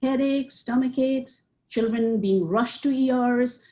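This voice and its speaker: A woman's voice: on the high side, slow pace, dark tone. A woman, a psychiatrist answering interview questions earnestly and listing points with many "you know"s. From Nepal. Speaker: psychiatrist guest.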